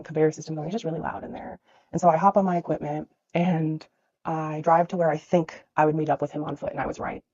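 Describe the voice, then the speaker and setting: A woman's voice: low-pitched, dark tone, dry recording, highs cut off. A young woman vlogging as a dog trainer, telling an emotional personal story. She sounds nasal and congested from crying and a cold, and she rambles with many fillers. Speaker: dog trainer and business owner.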